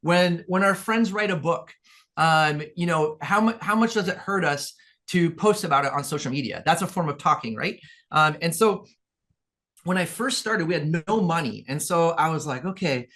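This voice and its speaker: A man's voice: a little high, lively intonation, moderate pace, fairly bright tone. A man from Peru, a guest in an interview, telling his story earnestly in a rambling way, with many restarts. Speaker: guest, business owner.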